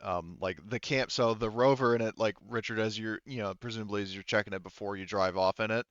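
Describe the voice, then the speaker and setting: A man narrating a tabletop roleplaying game as game master, in a casual, halting, informal way. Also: on the low side, steady tone, no room sound, lo-fi sound.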